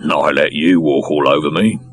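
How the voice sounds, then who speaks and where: A man's voice: rumbling low, steady tone, normal speed, no echo, faint music behind, pitched below the performer's own voice. A man voicing a flippant, easygoing film editor in an audiobook, with wry, bantering delivery. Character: flippant, easygoing male film editor, teasing and dryly resigned.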